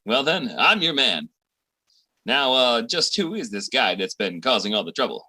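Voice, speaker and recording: Man acting swaggering boastful boyfriend, slangy wise guy, sharp forceful tone, very animated, radio comedy. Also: medium pitch, moderate pace, dry recording, exaggerated voice.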